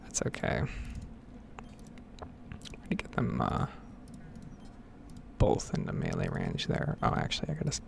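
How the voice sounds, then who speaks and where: ASMR gaming host, male, hushed and hesitant, crackly vocal fry, pitch that swings widely. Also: wildly swooping pitch, unhurried, pauses often. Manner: rambling, hesitant. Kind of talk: ASMR, soft-spoken and whispered game commentary.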